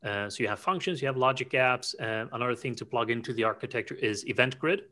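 Male podcast co-host, bright tone, chatty explainer who circles back and restarts. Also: medium pitch, fast. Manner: explanatory, frequent restarts and fillers. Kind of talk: casual technical podcast chat.

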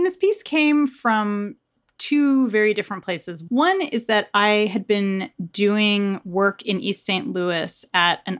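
A woman with a fairly low voice talks as an interviewed author. She explains her thinking conversationally, articulate and candid, with informal turns of phrase. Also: a bit bright. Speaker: author and science journalist being interviewed.

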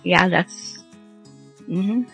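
A woman's voice: on the low side, extremely slow, gravelly, a bit bright, husky, dry recording, soft background music. A woman hosting a radio show, introducing programs in a casual, unscripted way.

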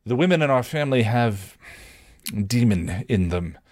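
A man voicing a stern, controlling middle-aged father with a gruff, insistent manner in a story reading. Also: medium pitch, animated, normal speed, a little mellow, no room sound, studio quality, pitched above the performer's own voice, natural voice. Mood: grave, defensive.

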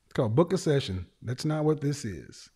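A man's voice: medium pitch, big pitch swings, a little fast, mellow, breathy edge. A man hosting a call-in show, blunt and interrupting, with a big voice and a habit of repeating his phrases. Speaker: show host. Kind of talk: live call-in show talk.

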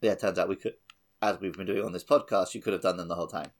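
A man's voice: deep voice, steady tone, racing. A man co-hosting a gaming podcast in a casual, colloquial way. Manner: informal, colloquial. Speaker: podcast co-host.

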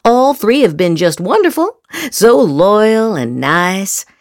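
A woman giving a theatrical, sarcastic dramatic reading of political posts as a podcast host, with exaggerated emphasis and drawn-out words. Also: mid-range voice, very animated, dry recording, studio quality.

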